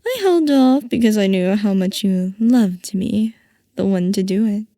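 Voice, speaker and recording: A woman playing a playful, possessive scientist boss who dotes on her assistant, in a soft, coaxing ASMR roleplay. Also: mid-range voice, expressive, a little slow, no room sound, studio quality. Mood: reassuring, fond. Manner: soft, coaxing, affectionate villainy.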